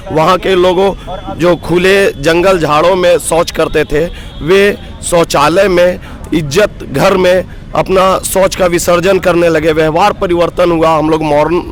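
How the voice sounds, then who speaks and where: A hoarse man in his thirties, a block coordinator at a roadside protest, speaking earnestly and with rising grievance in a street interview. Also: on the high side. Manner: aggrieved, earnest, builds to emphatic outbursts. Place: roadside protest sit-in outdoors.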